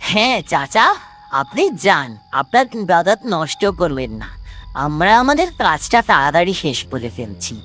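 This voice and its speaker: Woman as sly male thief, cartoon moral tale, wheedling, exaggerated, very animated pitch, light music underneath. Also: normal speed. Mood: feigned politeness, relief. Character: sly male thief posing as a humble mason. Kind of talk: dramatic animated moral story.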